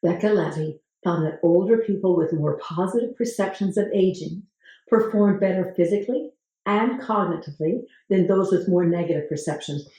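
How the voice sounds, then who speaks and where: Woman with a gravelly voice in an echoey room. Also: lowish voice, moderate pace, dark tone. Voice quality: gravelly voice today. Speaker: host. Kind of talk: talking to camera, explaining research.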